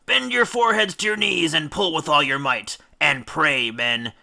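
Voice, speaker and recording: Man acting a loud, commanding sea captain, forceful. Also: on the high side, normal speed, vocal fry, voice pushed up, heavily acted. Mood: urgent, rallying. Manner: loud, urgent shouted orders. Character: commanding male sea captain urging on his rowers. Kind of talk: dramatic storybook reading.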